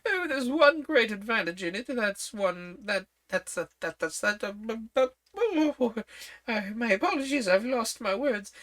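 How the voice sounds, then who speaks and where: A woman voicing a flustered, scatterbrained old queen in a storybook reading, her voice climbing into high fluttery shrieks. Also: expressive, a little slow, fairly bright tone.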